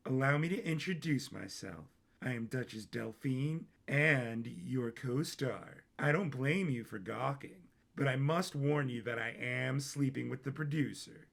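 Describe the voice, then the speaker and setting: A man's voice: medium pitch, a little slow, creaky, a bit bright. A man voicing a haughty, vain duchess and leading lady in an audio story, with a dismissive manner.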